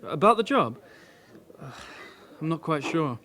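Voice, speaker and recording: Hesitant young British police constable. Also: male voice, on the high side, very animated, unhurried, a bit bright. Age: young adult. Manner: hesitant, diffident. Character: unsure, awkward young male police detective constable sidekick. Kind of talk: radio drama acting.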